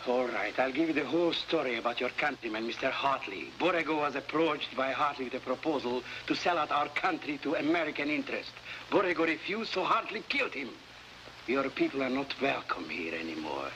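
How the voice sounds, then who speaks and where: An actor playing a smug, scheming Latin American newspaper publisher in a theatrical, oily 1950s television style. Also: male voice, medium pitch, lively intonation, fairly quick, bright, forceful tone. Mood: accusing, insistent. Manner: theatrical, oily, mock-courteous. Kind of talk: early 1950s TV adventure acting. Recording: noisy old 1950s television soundtrack.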